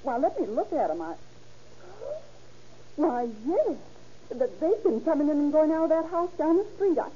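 Timid, fretful wife, very high but soft-toned, hesitant, vintage radio drama sound. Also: female voice, big pitch swings, normal speed, long pauses. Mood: flustered. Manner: breathless exclamations, hesitant. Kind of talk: 1930s-40s radio drama acting. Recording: dull, noisy old radio recording.

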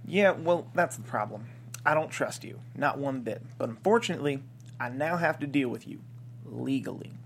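An actor playing an unimpressed small-town sheriff with a dry, sardonic manner in a comedy script read. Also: male voice, mid-range voice, expressive, normal speed, fairly bright tone. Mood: distrustful. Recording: clear background noise and hum.

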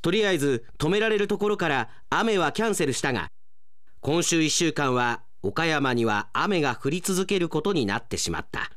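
A man's voice: a little high, lively intonation, normal speed, fairly bright tone, no room sound, studio quality. A man narrating a scripted radio serial in a theatrical, suspenseful storytelling manner. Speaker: radio announcer performing a drama. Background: music under the voice.

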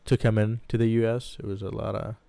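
A man's voice: fairly low pitch, level tone, fast, gravelly, dark tone, dry recording. A man in his twenties from Iraq, a podcast co-host, casually telling his own story in a loose, hedging way. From Baghdad, Iraq. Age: 20s.

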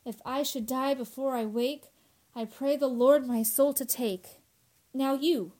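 A woman's voice: high voice, steady tone, a little slow, dark tone. A woman playing a frightened, fragile young woman in a radio horror drama, anxious and devoted, pleading breathlessly and repeating herself. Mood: hushed praying.

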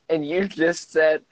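A young man on a phone call, teasing his friend with playful sarcasm in an animated, casual way. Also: fairly high pitch. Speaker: podcast co-host. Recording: dull, lo-fi phone audio.

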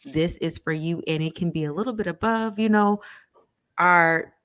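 A woman's voice: lowish voice, moderate pace, fairly bright tone, no echo. A woman in her thirties co-hosting a podcast, chatting casually and animatedly, sometimes searching for her words. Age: 30s. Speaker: podcast co-host. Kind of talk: casual chat.

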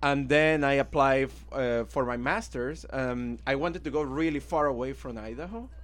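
A man's voice: medium pitch, normal speed. Venezuelan man chatting in an interview. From Caracas, Venezuela. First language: Spanish. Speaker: interview guest, illustrator and art teacher. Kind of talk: casual interview, telling own life story.

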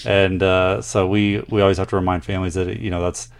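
A man co-hosting a podcast as a nurse, chatting casually and reading listener letters aloud with pauses. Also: low-pitched, flat delivery, creaky, smooth.

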